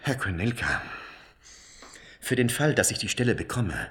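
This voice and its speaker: A male job seeker in his fifties, anxious and formal. His low voice moves expressively as he picks careful, hesitant, courteous words in a naturalistic radio play. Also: big pitch swings, moderate pace, fairly bright tone. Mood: earnest, urgent. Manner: polite, hesitant, carefully worded. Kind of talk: naturalistic German radio drama acting.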